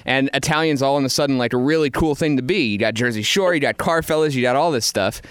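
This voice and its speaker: A man's voice: mid-range voice, fast, a bit bright, clear, resonant tone, no room sound. A man hosting a radio interview in a casual, joking, self-deprecating and informal way. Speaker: radio show host.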